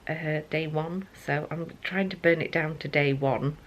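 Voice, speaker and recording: A middle-aged woman talks to a handheld camera in her kitchen, her voice croaky from just waking up and sitting low for a woman. She rambles along casually, with plenty of ums and restarts. A faint hiss runs behind her. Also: measured pace. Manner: rambling with many fillers. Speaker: vlogger. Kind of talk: casual morning vlog chat. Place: family home kitchen.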